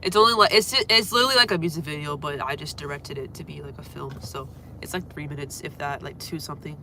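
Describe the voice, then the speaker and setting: A young woman from Florida with a deep voice and a cold, chatting rambly with viewers on a phone livestream at home. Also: fast talker, noisy background. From Florida, USA. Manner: rambling, lots of fillers, trails off quietly. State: has a cold, congested and coughing. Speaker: musician livestreaming. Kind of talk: casual livestream chat with viewers. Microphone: phone held close.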